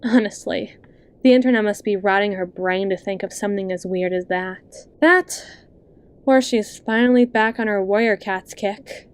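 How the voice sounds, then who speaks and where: A woman playing a possessive, manipulative best friend and hypnotist in an ASMR roleplay, coaxing and condescending in a soft, intimate voice, speaking straight to the listener. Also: medium pitch, smooth, close to an everyday voice. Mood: teasing, feigned concern. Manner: slow, coaxing, condescending, with lingering pauses.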